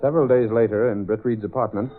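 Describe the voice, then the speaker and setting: An actor serving as the dramatic, urgent narrator of a 1930s radio serial. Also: male voice, medium pitch, steady tone, fast talker, phone-quality sound, lightly acted. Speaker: announcer narrator. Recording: old radio recording over loud music.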